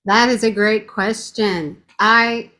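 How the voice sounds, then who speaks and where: A woman's voice: medium pitch, steady tone, slow pace, bright, forceful tone. A woman with a strong voice giving a chatty, gushing testimonial, laughing often as she talks. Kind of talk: casual testimonial chat.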